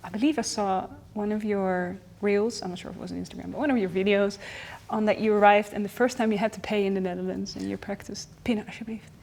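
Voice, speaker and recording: A young woman hosting a casual group chat, laughing often as she tells lively stories. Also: medium pitch, fast, creaky, hardly any pauses. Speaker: host.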